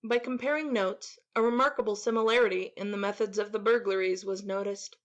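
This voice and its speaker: A woman's voice: mid-range voice, steady tone, brisk. Woman narrator, slightly dull recording. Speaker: audiobook narrator. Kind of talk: scripted story narration.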